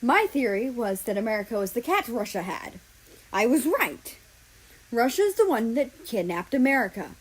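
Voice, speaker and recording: A woman plays an indignant man under arrest in a storybook reading. The voice is raised and loud, protesting and arguing his case. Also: a little high, very animated, moderate pace, husky, pitched above the performer's own voice. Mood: accusing.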